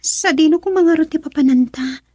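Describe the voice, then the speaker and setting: A woman's voice: high-pitched, normal speed, dark tone, no room sound, clean studio sound, lightly acted. An actress playing a sweet, hesitant young student girlfriend who frets, in a Filipino radio drama with a Filipino accent. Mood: worried. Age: young adult.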